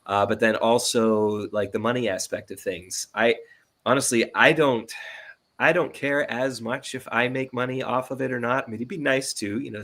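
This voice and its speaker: A man's voice: mid-range voice, a bit bright. A man in his thirties talking casually as an interview guest, easygoing and earnest, feeling his way through his phrases. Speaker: audio drama producer and editor, interview guest.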